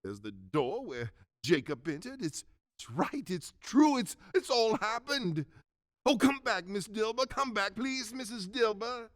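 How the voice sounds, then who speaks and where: A man acts out an old miser overcome with giddy joy in a radio play. The voice sits fairly high and leaps about in animated swoops, with stammered, repeated exclamations. The sound is dry and close. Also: measured pace, no echo, heavily acted. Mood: elated, generous. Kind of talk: theatrical radio drama acting.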